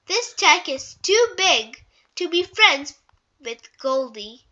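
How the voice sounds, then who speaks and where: Female reader as young girl pig, outspoken, curious, high pitch, emphatic, storybook character. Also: very animated, slow pace, bright, forceful tone, dull audio, heavily acted.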